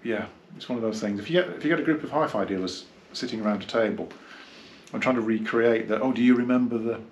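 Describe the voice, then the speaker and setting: A man gives a casual, unscripted hi-fi review, rambling and halting as he reminisces. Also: medium pitch, slight background noise. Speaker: hi-fi dealer and reviewer. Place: small carpeted indoor room, seated.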